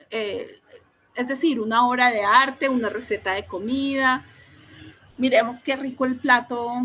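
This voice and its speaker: A woman's voice: a little high, a bit bright. A woman, a language teacher trainer, giving an explanatory online talk, thinking aloud and checking in with her listeners.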